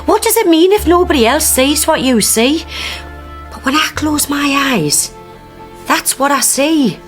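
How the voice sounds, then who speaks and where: An actress playing a wary, troubled woman who witnessed an arson, speaking bluntly and haltingly. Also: high-pitched, lively intonation, faint music behind. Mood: uneasy, guarded. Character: troubled working-class woman haunted by a unicorn vision. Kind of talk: radio drama acting.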